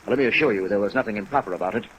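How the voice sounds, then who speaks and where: An actor playing a polite, evasive company president in a 1950s radio drama, speaking formally and hesitantly with a rueful air. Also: male voice, fairly low pitch, rapid-fire, natural voice, poor audio. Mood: formal, apologetic. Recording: vintage radio recording under rain noise. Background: steady rain sounds.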